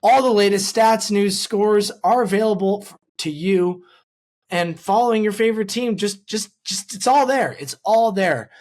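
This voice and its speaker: A man's voice: high-pitched, moderate pace, darkish tone. A man hosting a sports podcast, talking casually and informally with an upbeat fan's manner. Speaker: podcast host. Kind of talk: casual podcast intro and ad reads.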